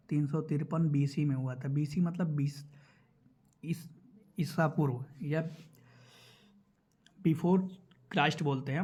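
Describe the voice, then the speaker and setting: A man's voice: on the high side, warm tone. A man teaching an exam prep lesson, explaining step by step and repeating key points. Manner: repeats key points instructively, checks in with listeners. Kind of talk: explanatory lesson going through quiz questions.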